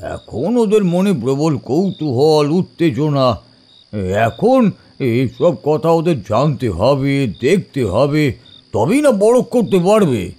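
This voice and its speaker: An actor playing an older village uncle who tells eerie tales in an audio drama, conversational and emphatic with suspenseful pauses. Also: male voice, a little high, very animated, dark tone, full character voice. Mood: dismissive, insistent. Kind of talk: Bengali audio drama storytelling.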